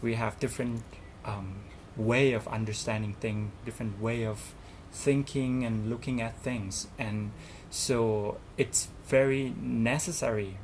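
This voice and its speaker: A man's voice: mid-range voice, slight background noise. A young man whose first language is Thai, answering interview questions earnestly as a student representative, with many fillers and restarts.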